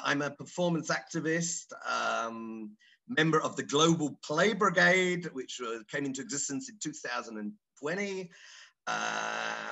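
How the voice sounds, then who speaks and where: A man's voice: mid-range voice, gravelly, sharp tone, low-quality recording. A middle-aged man introducing himself informally on an online panel, playful and rambling, often repeating his phrases. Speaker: panelist, clown and drama therapist. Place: online video call.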